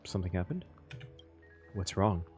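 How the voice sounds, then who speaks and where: A man's voice: low-pitched, animated, moderate pace, darkish tone, faint music behind. A man giving casual reaction commentary as a game streamer, informal and prone to swearing.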